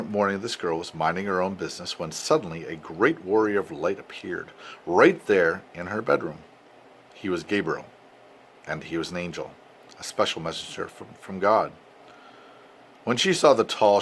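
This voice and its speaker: An older man, a grandfather, reads a storybook aloud to his family expressively, with dramatic pauses and emphasis. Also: sits low, fairly bright tone. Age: 60s or older. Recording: noisy amateur home recording.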